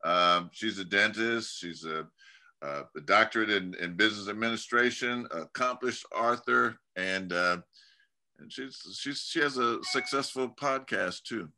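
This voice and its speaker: A middle-aged man hosts a casual community video call from his book-lined home study, heard through a webcam. His voice is fairly low yet very bright and sharp in tone. He rambles easily, restarting phrases and filling pauses as he goes. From California. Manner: relaxed, rambling, many restarts and fillers. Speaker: host of church community video-call talk. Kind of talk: casual interview chat.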